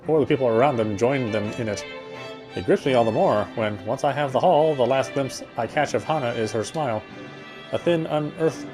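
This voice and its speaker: A man reading visual novel narration aloud, expressively, with occasional stumbles over words. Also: mid-range voice. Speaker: game streamer. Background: game music.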